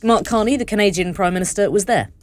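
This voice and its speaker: A woman's voice: medium pitch, quick pace. A British woman reading a scripted news bulletin on the radio. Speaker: radio newsreader.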